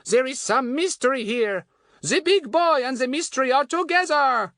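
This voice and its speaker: A man voicing a storybook schoolboy posing as a mysterious old Frenchwoman fortune-teller, slow and theatrical, with a French accent. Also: extremely high pitch, expressive, sharp tone, no echo, clean studio sound, voice pushed up, exaggerated voice. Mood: ominous.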